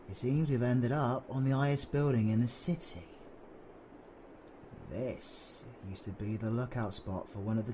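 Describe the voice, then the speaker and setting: A British man playing a cynical, sardonic supervillain with dark powers in an audio roleplay, sweary, mocking and brooding. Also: medium pitch, a little hiss or hum, close to an everyday voice.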